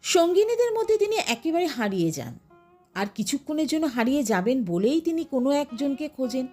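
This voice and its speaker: High-pitched, expressive woman narrator. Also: fast talker, soft background music. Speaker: audiobook narrator. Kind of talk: expressive literary story narration.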